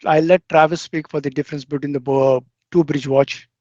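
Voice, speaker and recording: A man's voice: fairly high pitch, flat delivery, fairly quick, darkish tone, dry recording. A man hosting an online meeting as a program representative and answering questions. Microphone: online meeting call. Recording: dull lo-fi online call audio.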